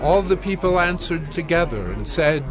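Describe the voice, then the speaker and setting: A man narrating dramatized scripture in a measured, solemn, clearly phrased way. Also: on the high side, expressive, a crowd in the background.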